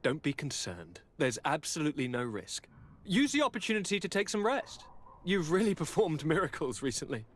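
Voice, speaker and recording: A breezy young aristocrat from a video game. The voice is fairly high and swings widely in pitch. He is courtly and affectionate, airily brushing things aside with theatrical polish. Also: big pitch swings, moderate pace, fairly bright tone. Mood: breezy, evasive. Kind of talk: dramatic video game acting.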